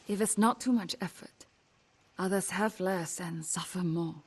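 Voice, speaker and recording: An actress playing a haunted, fearful peasant woman in a video game with a husky, breathy voice. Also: on the low side, measured pace. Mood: awed, anxious. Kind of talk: hushed game voice acting.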